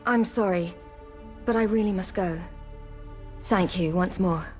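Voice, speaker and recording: An actress as a resolute young woman, medium pitch. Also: measured pace, darkish tone, soft background music, natural voice. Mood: apologetic. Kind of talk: video game dialogue acting.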